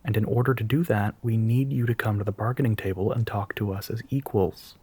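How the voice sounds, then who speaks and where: A man playing an earnest, nervous young soft-boy union spokesman in an audio roleplay, speaking haltingly but with polite firmness. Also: fairly low pitch, steady tone, slightly rough, no room sound. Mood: earnest, resolute. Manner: hesitant, halting, politely firm. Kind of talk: audio roleplay monologue, addressing the listener.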